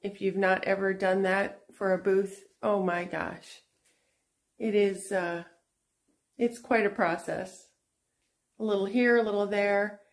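A middle-aged woman talks slowly and chattily to her followers. She fills her sentences with little pauses and restarts. Her voice sits at an ordinary mid pitch, and she is recorded on a phone inside a small shop. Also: steady tone. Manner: chatty, unhurried, with frequent fillers and restarts. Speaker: antique shop owner and channel host. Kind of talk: casual vlog walkthrough. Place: indoor antique shop booth.

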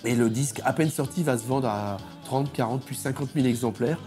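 A man in his fifties from France presenting an exhibition to camera in an informal, explanatory, slightly halting way. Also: mid-range voice, a little mellow, faint music behind. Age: 50s. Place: indoor exhibition, reconstructed bedroom.

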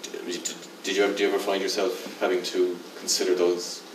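A deep-voiced older man moderates a panel on stage, restarting his questions as he goes. The sound comes from a distant mic in a reverberant hall with a steady noise floor. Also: a little fast. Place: stage in a dim theatre hall. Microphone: far camera. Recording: echoey hall from far off.

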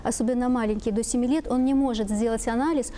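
A woman's voice: a little high, fairly even intonation, fast talker, dark tone, a little hiss or hum. A woman giving explanations as an expert guest in a panel discussion.

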